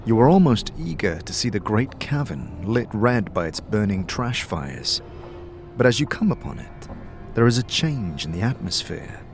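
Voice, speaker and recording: Low-voiced male fantasy narrator. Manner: measured, descriptive storytelling. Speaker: narrator, game master. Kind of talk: scripted second-person fantasy narration.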